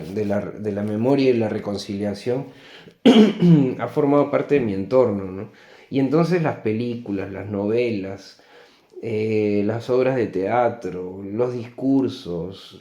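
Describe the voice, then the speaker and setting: A man with a Peruvian Spanish accent giving an unscripted book review in a reflective, halting way, often checking in with tag questions. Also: fairly low pitch, warm tone. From Peru. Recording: echoey, roomy sound.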